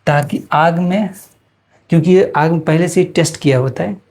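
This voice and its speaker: A man's voice: a little high, normal speed, darkish tone. A man with an Indian accent gives an informal explanatory lesson as a teacher, repeating and rephrasing his points for students.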